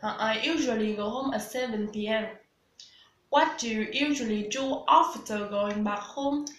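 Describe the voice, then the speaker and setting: A woman's voice: on the high side, unhurried, reverberant, slight background noise, poor audio. A woman in her twenties with a Vietnamese accent, practising scripted English dialogue as a student, hesitant with many fillers and restarts. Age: 20s.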